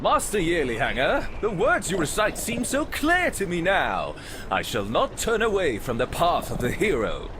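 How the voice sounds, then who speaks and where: A man acting a vain, flamboyant gentleman hero in a game fan dub, with pompous, theatrical and grandiloquent delivery. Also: a little high, wildly swooping pitch, moderate pace, background noise. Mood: resolute.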